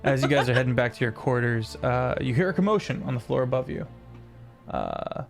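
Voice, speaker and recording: A man's voice: mid-range voice, big pitch swings, fairly quick, vocal fry, faint music behind. A man narrating as game master in a tabletop role-playing game, rambling loosely and laughing often.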